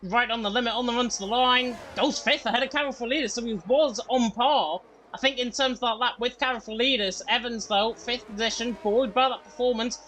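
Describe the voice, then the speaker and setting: A man calling a sim racing qualifying session, his voice a little croaky from being unwell. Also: very high voice. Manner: fast, excited play-by-play. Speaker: lead commentator.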